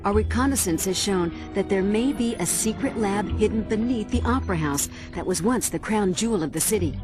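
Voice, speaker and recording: Lady as a game spy handler, medium pitch, poised briefing, music behind. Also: a little fast, natural voice. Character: poised female spy mission briefer, lightly flirty. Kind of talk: video game mission briefing.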